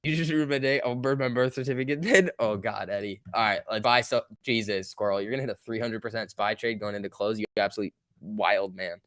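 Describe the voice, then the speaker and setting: A man's voice: on the low side, steady tone, fairly quick, a bit bright, no echo, clean studio sound. A man in his early twenties hosting a casual trading livestream, chatting informally and joking as he reads and answers viewer comments. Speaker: livestream host.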